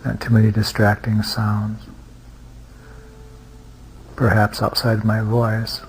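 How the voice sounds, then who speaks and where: A man leading a guided meditation as a teacher, speaking in an unhurried way with long pauses and hesitant restarts. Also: fairly low pitch, completely flat delivery, a little hiss or hum, poor audio. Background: music.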